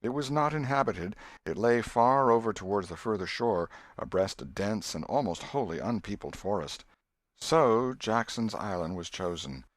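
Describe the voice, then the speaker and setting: Male audiobook narrator, deep register, measured and expressive, close dry sound. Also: no room sound. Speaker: audiobook reader. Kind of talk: scripted narration of a classic novel.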